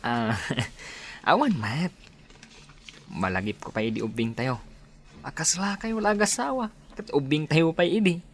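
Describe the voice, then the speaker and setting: A man's voice: fairly high pitch, animated, unhurried, pauses often. An actor with an Ilocano accent playing an easygoing, teasing young male friend in a radio drama.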